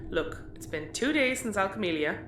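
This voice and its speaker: A woman's voice: medium pitch, lively intonation, moderate pace, fairly bright tone, lightly acted. A woman playing a sensible, dry-humoured spaceship crewmate, matter-of-fact and a little bossy, in a sci-fi audio comedy. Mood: exasperated, practical. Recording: echoey room, faint background noise.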